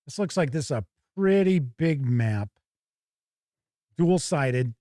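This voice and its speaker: A man hosting a tabletop game show, giving casual, halting live unboxing commentary and thinking aloud. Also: on the high side, lively intonation, dark tone, no echo, studio quality.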